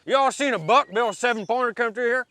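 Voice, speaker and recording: Wild folksy backwoods hunter, acted by a guy, rambles fast, comedy sketch. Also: very high-pitched, sharp tone, no room sound. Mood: manic warning. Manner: rambling, fast-talking, colloquial.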